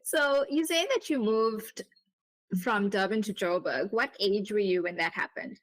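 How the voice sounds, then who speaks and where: A woman podcast host with a medium-pitched voice. She interviews casually and conversationally, sounding encouraging and appreciative toward her guest. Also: a little slow, low-quality recording.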